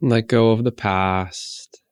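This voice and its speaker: A man guides a meditation in a deep, very even voice, recorded close and dry. He speaks gently and without hurry, letting long pauses settle between short phrases of soothing instruction. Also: clear, resonant tone, dry recording.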